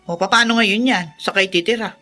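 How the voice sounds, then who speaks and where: An actress playing a callous, sharp-tongued middle-aged mother in a Filipino radio drama, scolding and dismissive. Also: medium pitch, normal speed, soft background music. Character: callous, sharp-tongued mother who spurns her children.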